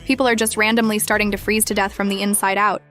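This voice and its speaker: A woman's voice: mid-range voice, little pitch movement, fast talker, a bit bright, soft background music, lightly acted. A woman playing a caring, concerned young woman at home in earnest, conversational audio drama dialogue. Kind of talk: casual domestic drama dialogue.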